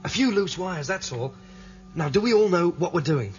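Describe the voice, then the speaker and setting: A man's voice: high-pitched, animated, moderate pace. An actor playing an older man leading a break-in, explaining plans with hesitant restarts. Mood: tense. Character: older man leading an escape, calm planner. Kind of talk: radio drama acting. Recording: dull lo-fi radio drama with music.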